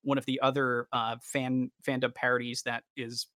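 A man co-hosting a casual fan podcast, talking in a halting but enthusiastic way. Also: medium pitch, flat delivery, fairly bright tone, no room sound, clean studio sound. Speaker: podcast co-host.

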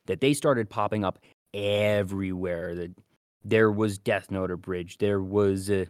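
A man with a fairly low voice speaks as a podcast co-host. He explains casually in a measured, conversational manner. The recording is dry, with very little room sound. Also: fairly even intonation, smooth, no echo.

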